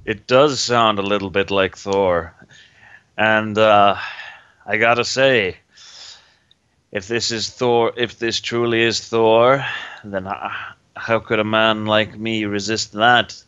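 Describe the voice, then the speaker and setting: A man playing a tabletop roleplaying game, speaking in a measured, formal in-character way. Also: on the low side, steady tone, fairly bright tone, clear, resonant tone. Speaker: player. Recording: dull lo-fi recording.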